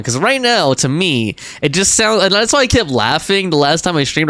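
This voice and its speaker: Animated game streamer with swooping pitch. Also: dry recording. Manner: informal, reactive, chuckling. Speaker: game livestreamer. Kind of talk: casual chat, reading out game dialogue and viewer comments.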